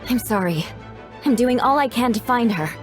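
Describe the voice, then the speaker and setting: Woman acting proud young female knight, higher voice, expressive swings, formal, protective, dramatic game dialogue. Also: normal speed, soft background music, lightly acted. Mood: worried.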